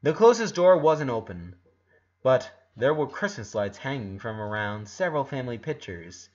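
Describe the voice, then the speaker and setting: A man reading a story aloud as an expressive narrator. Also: mid-range voice, moderate pace, dull audio. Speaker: fan fiction narrator.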